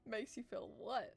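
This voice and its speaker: A woman's voice: fairly high pitch, husky. Playful woman streamer over faint music. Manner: playful, expressive, teasing. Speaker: live streamer reading a visual novel aloud. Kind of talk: live stream read-aloud with casual reactions and chat.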